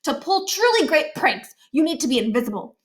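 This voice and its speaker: A woman's voice: sits high, sing-song, a little fast, soft background music, voice pushed up. A woman voicing an earnest, self-assured schoolboy prankster who lectures in a storybook read-aloud. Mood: lecturing, confident. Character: earnest, know-it-all schoolboy master prankster.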